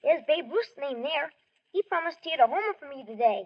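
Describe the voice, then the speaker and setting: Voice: sits high, animated, brisk. A woman playing an earnest, polite young boy who loves baseball, in 1940s radio acting style. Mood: shy, eager. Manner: polite, wistful, pleading. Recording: muffled vintage radio recording.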